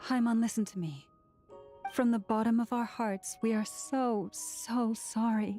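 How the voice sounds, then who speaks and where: A woman voicing a solemn, gentle female character in a video game, speaking earnestly and deliberately. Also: a little high, fairly even intonation, soft background music, lightly acted.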